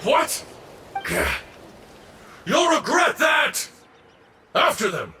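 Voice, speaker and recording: An actor playing a smug, menacing male enemy soldier in a video game, with a gruff delivery. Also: extremely high pitch, sing-song, extremely slow, bright, forceful tone, shouting, heavily acted. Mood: furious. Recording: echoey, noisy game ambience behind.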